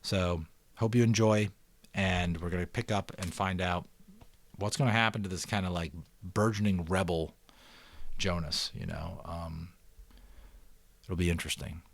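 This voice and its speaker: A middle-aged man who reads and hosts an audiobook in an expressive, earnest way, with casual commentary. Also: low voice, a little slow, vocal fry, long pauses. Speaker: audiobook reader and host. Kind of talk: dramatic book narration with casual commentary.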